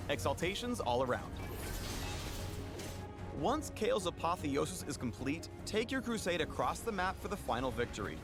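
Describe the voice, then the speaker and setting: A man's voice: fairly high pitch, very animated, moderate pace. A man narrating a scripted promotional guide to a video game champion, with dramatic, grandiose delivery. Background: background music.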